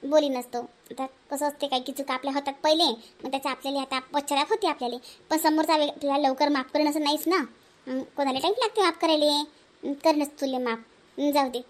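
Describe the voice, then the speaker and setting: A woman's voice: high-pitched, fairly even intonation, a little fast, lightly acted. A woman voicing village women in a family cartoon, bickering in-laws, speaking Marathi with a Varhadi accent. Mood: chatty.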